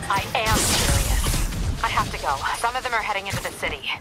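Woman acting no-nonsense comms ally, medium pitch, concise, game scene with really loud music. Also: lively intonation, a little fast, natural voice. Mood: serious. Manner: matter-of-fact, concise. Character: brisk, businesslike female ally on comms. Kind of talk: video game cutscene acting. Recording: very loud music and action behind.

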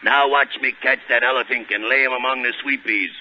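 A man's voice: mid-range voice, fairly even intonation, fairly quick, highs cut off, close to an everyday voice. Guy doing tough big-hearted cartoon sailor, butchered grammar, old noisy radio recording. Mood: defiant. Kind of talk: 1930s radio adventure acting.

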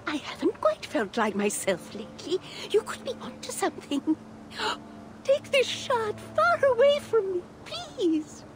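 Deranged fairy devotee: sugary, sing-song, very high game voice, faint noise behind. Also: female voice, big pitch swings, unhurried, exaggerated voice. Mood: troubled, pleading. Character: sweetly deranged, fairy-obsessed woman performing sacrifices. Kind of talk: video game cutscene acting.

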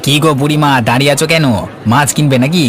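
A man's voice: fairly high pitch, level tone, fast talker, fairly bright tone, some chatter behind, close to an everyday voice. A man voicing an eager, obliging village fishmonger in a cartoon. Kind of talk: cartoon dialogue. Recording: market bustle and faint noise.

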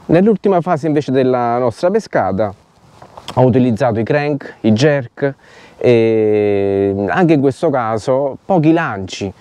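A middle-aged man explaining fishing technique to camera, haltingly, searching for his words. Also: mid-range voice, expressive, dark tone. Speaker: fishing vlogger. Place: outdoors on a grassy lakeshore.

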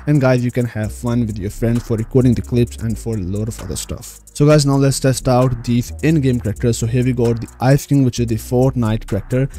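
Male, tutorial host, mellow soft-edged tone, mid pitch, step-by-step voice-over, direct address to viewers. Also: steady tone, fairly quick, few pauses, faint music behind. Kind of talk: screen-recorded tutorial voice-over.

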